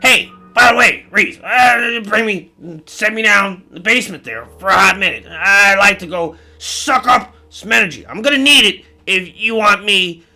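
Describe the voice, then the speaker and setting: A man voicing a crude, grudging demon trapped in a jar, with halting, drawn-out, cursing speech, in a comic told story. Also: high-pitched, vocal fry, bright, forceful tone, soft background music, voice pushed up, full character voice, poor audio. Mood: grudging, pained. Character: gruff jarred demon, crude, grudgingly friendly, in pain at kindness.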